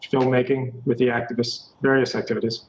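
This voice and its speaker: A man's voice: medium pitch, flat delivery, a little fast, lo-fi sound. A man, a journalist guest, answering firmly and directly. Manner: firm and direct. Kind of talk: interview answers.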